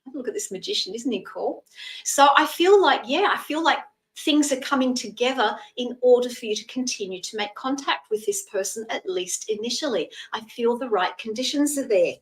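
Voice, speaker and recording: A Scottish woman in her sixties giving a live tarot reading, chatting in a gentle, reassuring way and using terms of endearment. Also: a little high, lively intonation, fairly quick, far from the mic, poor audio. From Scotland. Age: 60s. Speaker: psychic tarot reader.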